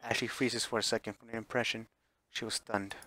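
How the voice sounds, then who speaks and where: A man with a medium-pitched voice narrates a visual novel on stream. His read-aloud delivery is dramatic but unpolished, with stumbles and repeated phrases. The sound is dry and close. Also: level tone, brisk, sharp tone, no echo. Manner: stumbles over words and re-reads phrases.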